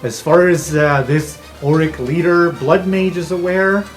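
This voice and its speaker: Male game master, voice a touch high, improvised descriptive storytelling, prominent music bed. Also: steady tone, a little slow. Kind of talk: improvised tabletop game narration. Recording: music playing behind the voice.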